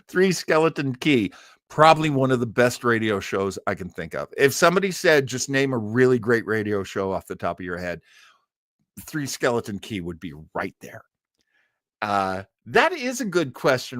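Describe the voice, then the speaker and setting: An American man hosts a casual livestream in a chatty, effusive manner. His pitch swings freely up and down as he rambles along, and the sound is close and dry. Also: a bit bright, dry recording. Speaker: livestream host. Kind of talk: casual livestream chat with viewers.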